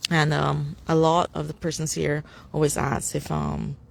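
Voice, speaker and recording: A woman's voice: sits low, monotone, measured pace, creaky. A young woman from Barbados with a Barbadian accent, chatting casually on a phone live stream outdoors on a city street, rambling with many fillers and restarts and laughing often. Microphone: phone camera.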